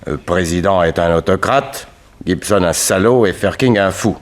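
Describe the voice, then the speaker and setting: Actor playing a low-voiced, nervous, evasive suspect. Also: male voice, moderate pace, lightly acted. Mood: indignant, defensive. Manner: hesitant, defensive, indignant. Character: small, nervous, sharp-eyed man of thirty-five, evasive suspect. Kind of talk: 1960s French radio detective drama acting.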